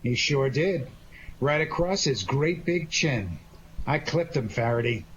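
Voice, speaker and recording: A man's voice: mid-range voice, expressive, unhurried, close to an everyday voice. A man playing an unflappable, sardonic amateur sleuth who trades slangy wisecracks in a 1940s-style radio detective drama. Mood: smug, teasing. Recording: dull lo-fi audio with faint noise.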